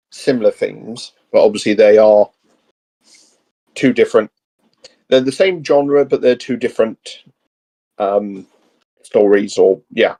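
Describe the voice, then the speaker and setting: A man's voice: fairly low pitch, warm tone, rough recording. A man chatting casually as a guest co-host, explaining ideas off the cuff in a hesitant, meandering way.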